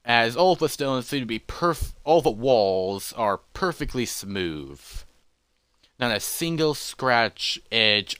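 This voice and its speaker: A man narrating a fantasy adventure script in a halting, loosely read way, with dramatic pauses. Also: lowish voice, fairly bright tone, smooth, no room sound.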